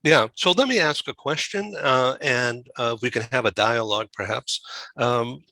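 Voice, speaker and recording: A man hosting a conversational interview, asking halting, rambling questions. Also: mid-range voice, sharp tone, no echo, poor audio. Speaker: talk show host.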